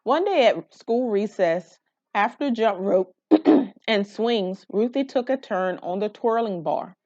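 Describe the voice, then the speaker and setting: A woman storyteller narrates a children's picture book with expression. Her pitch is middling. The recording is dry and a little dull. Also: expressive, takes it slowly, dark tone, no echo. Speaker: read-aloud storyteller.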